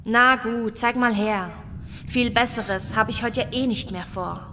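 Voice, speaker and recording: A woman's voice: fairly high pitch, unhurried, background noise. An actress playing a curt, irritable and sarcastic woman in a horror audio drama.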